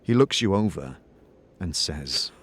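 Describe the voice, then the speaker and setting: Low-voiced man narrating dramatically. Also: expressive, unhurried, husky. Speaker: narrator and game master. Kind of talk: dramatic second-person storytelling narration.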